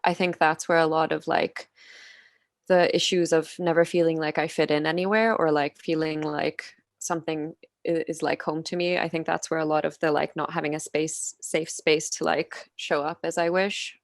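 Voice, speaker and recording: A woman in her twenties from Finland chats on a video call, her voice fairly low and the sound dry and close. She speaks softly, giggles often, and fills her sentences with "like". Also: flat delivery, moderate pace, no echo. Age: 20s. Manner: soft-spoken, giggly, many "like" fillers. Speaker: podcast guest. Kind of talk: casual interview chat.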